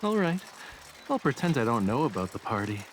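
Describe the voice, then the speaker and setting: A man's voice: mid-range voice, sing-song, brisk, close to an everyday voice, poor audio. A man acting as a playful young art professor in a romance game. He teases his partner in an understated, aloof way, with music playing behind his voice. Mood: teasing.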